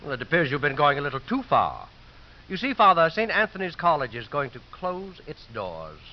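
An actor playing a stern, disapproving church official who watches the money in a 1950s radio drama. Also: male voice, on the high side, wildly swooping pitch, moderate pace. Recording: hissy, muffled 1950s radio recording.

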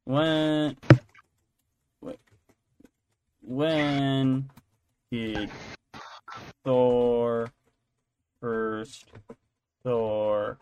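A man's voice: mid-range voice, steady tone, extremely slow, pauses often, background noise. A man co-hosting a podcast, chatting casually about pop culture and thinking aloud as he rambles. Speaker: podcast co-host.

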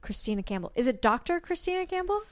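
A woman's voice: high-pitched, sing-song, quick pace. A woman co-hosting a casual podcast, talking in a hesitant way with many ums and restarts. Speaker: podcast co-host.

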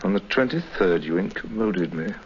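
Deep-voiced, courtly English criminal mastermind. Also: male voice, expressive. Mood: aggrieved, threatening. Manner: formal, unctuous, deliberate. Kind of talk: 1950s radio drama acting. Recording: muffled, faintly hissy 1950s radio recording.

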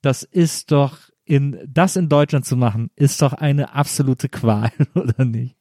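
A man's voice: medium pitch, measured pace, mellow, dry recording, studio quality. A man from Germany hosting a podcast interview, asking loose, rambling questions in a casual chat. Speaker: podcast host.

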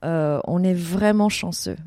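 A woman's voice: on the low side, unhurried, dark tone, no echo, studio quality. A middle-aged woman from Vietnam answering interview questions about her events business, hesitating often with fillers and restarts. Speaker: desert events business owner.

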